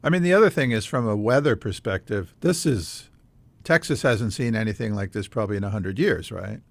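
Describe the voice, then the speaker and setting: American guy hosting a chatty interview, curious, kinda gravelly, really dry sound. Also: on the low side, animated, a little fast, no echo. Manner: curious, conversational. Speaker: host. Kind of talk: casual radio interview.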